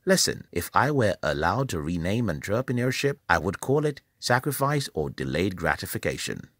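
A man from Nigeria, reading a scripted motivational voice-over in a measured, emphatic, preacherly way. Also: sits low, big pitch swings, a bit bright, no echo, studio quality. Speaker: channel host.

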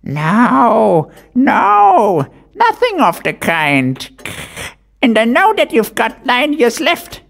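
Sly, coaxing Death figure driving a coffin wagon in a storytelling reading, high, gravelly and sing-song. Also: male voice, wildly swooping pitch, extremely slow, a bit bright, exaggerated voice. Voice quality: high, creaky, strained. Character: Death as a cunning male wagon driver.

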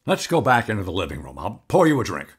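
An actor playing an evasive, placating man with a secret in an audio drama, speaking hesitantly and deliberately. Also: on the low side, huge pitch swings, breathy edge, full character voice. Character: evasive, soothing husband hiding an uncanny secret.

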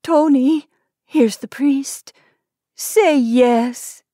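Brief: woman reader voicing a frantic, pleading wife, high and loud, begging. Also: normal speed, dry recording, clean studio sound, close to an everyday voice. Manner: pleading, rising to a shriek. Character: pleading desperate wife of a dying shopkeeper.

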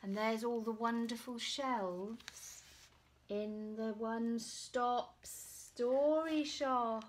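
A woman in her forties reading a picture book aloud to young children, with dramatic pauses and hushed asides. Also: on the high side, steady tone, slight background noise. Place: small room at home, indoors. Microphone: camera or phone at about arm's length.

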